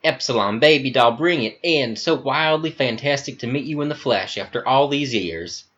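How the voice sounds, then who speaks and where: A man's voice: mid-range voice, normal speed, a bit bright, muffled recording, pitched above the performer's own voice, lightly acted. A man voicing a flamboyant, theatrical middle-aged Southern governor, a gushing villain with florid, grandiose speech and an American Southern accent.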